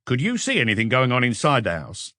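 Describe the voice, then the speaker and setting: A man's voice: medium pitch, huge pitch swings, brisk, pushed and bright, no room sound, clean studio sound. A man voicing a methodical male police questioner in a detective audiobook, terse and probing.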